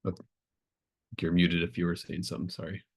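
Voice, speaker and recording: A man's voice: low-pitched, monotone, brisk, breathy edge. A man narrating a tabletop game as game master, improvising in a casual, hesitant, thinking-aloud way. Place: remote online group call.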